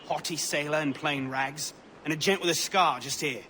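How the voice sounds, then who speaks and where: A man's voice: on the high side, normal speed, pushed and bright, slight background noise. An actor playing a male informant giving a matter-of-fact report in a dramatic video game cutscene. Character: informant man reporting plainly on strangers.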